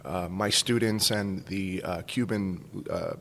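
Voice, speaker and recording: A man's voice: sits low, fairly even intonation, slow pace, vocal fry, fairly bright tone, in a large hall, a little hiss or hum. A man in his forties from Cuba, casually telling a personal story as a podcast guest. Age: 40s. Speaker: school staff member, podcast guest.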